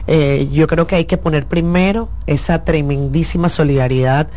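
A woman's voice: low voice, normal speed, dark tone, a little hiss or hum. A woman journalist, a guest in a studio interview, explaining in earnest detail and with feeling. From Venezuela. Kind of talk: talk-show interview.

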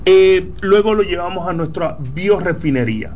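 A Dominican man, a company founder giving measured, explanatory interview answers with frequent pauses. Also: high-pitched, lively intonation, a little mellow, a little hiss or hum. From Dominican Republic.

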